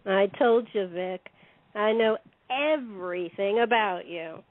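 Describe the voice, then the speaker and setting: A woman's voice: mid-range voice, takes it slowly, no echo, natural voice. A woman playing a sinister, coolly confident secretary turned armed robber in an audio play, mocking and self-assured. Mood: cool, threatening. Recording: tinny narrow phone-like sound.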